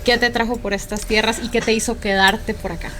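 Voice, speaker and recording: A woman's voice: fairly high pitch, fairly even intonation, brisk, a bit bright, slight background noise. A woman hosting a talk show, guiding the guest with friendly questions. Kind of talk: casual interview chat.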